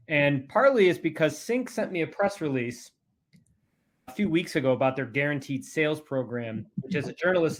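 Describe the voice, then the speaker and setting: A man hosting a webinar as a technology columnist, reading a scripted introduction with dry, self-aware asides. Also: medium pitch.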